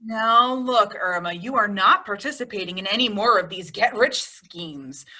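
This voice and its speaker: A woman's voice: mid-range voice, moderate pace, sharp tone. A woman playing a sensible, exasperated roommate with a dry, scolding manner in an old-time radio comedy.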